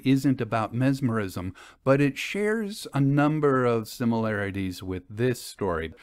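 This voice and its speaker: A man speaks as the host of a storytelling podcast. His voice sits a little low, and the sound is very dry, with no room around it. He comments casually, as one reader to another. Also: no room sound.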